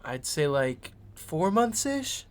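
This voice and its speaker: A man's voice: fairly high pitch, very animated, slow pace, a little hiss or hum. Man playing an upbeat, slangy young spaceship crewman. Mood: easygoing. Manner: breezy, slangy, eager. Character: laid-back, boastful, upbeat young male spaceship crewman. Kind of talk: video game fan dub acting.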